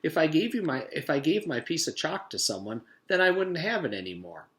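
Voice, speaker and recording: Man reading as a gentle lady schoolteacher, pitched up. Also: sing-song, brisk. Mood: tender. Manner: gentle, polite, patient. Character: kind, gentle woman schoolteacher. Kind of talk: children's storybook read-aloud.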